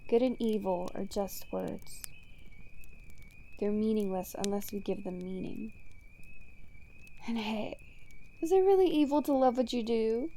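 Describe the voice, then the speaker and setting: A woman's voice: a little high, mellow, slight background noise. A woman playing a taunting, seductive supervillain in an ASMR roleplay monologue, mocking and theatrical. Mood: smug, taunting. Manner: mocking, teasing, theatrical, unhurried, breaking into whispers. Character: taunting female supervillain, smug, seductive, bitter toward heroes.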